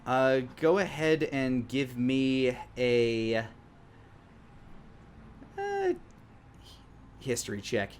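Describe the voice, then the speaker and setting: A man narrating a tabletop game as dungeon master, improvising and pausing often to search for words. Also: medium pitch, very animated, slight background noise.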